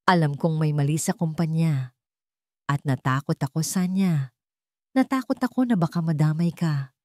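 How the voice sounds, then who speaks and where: A woman's voice: sits low, moderate pace, mellow, no echo, clean studio sound, lightly acted. A woman voicing an anguished, protective young man in a romantic audio drama, solemn and earnest. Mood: remorseful, confessing. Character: anguished, protective young male CEO confessing forbidden love.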